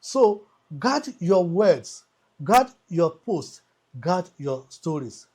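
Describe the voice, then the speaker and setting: A man hosting a scripted motivational monologue, speaking emphatically like an orator, with deliberate repetition and pauses. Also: high-pitched, sing-song, darkish tone, slightly rough. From Africa. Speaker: host.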